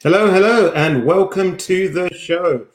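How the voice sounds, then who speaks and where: A man's voice: high-pitched, unhurried, a little hiss or hum. Male host for casual show banter, dry and teasing, recorded with noticeable room echo. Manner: teasing, dryly joking. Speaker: podcast host. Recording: roomy, echoey sound.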